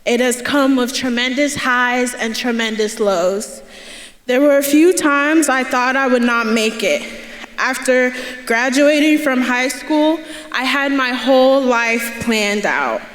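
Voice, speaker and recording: Young woman, high voice, slow earnest speech, commencement podium, roomy hall echo. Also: fairly bright tone, soft background music. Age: young adult. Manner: earnest, laughs between lines, raises voice to cheer the crowd. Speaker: student commencement speaker. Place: large indoor ceremony hall. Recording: echoey hall with crowd ambience.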